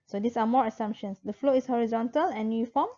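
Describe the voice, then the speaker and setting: A woman with a Malaysian accent giving an informal recorded lecture as a university lecturer, explaining step by step in a halting way and repeating key points. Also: a little high, warm tone. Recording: dull lo-fi voice recording.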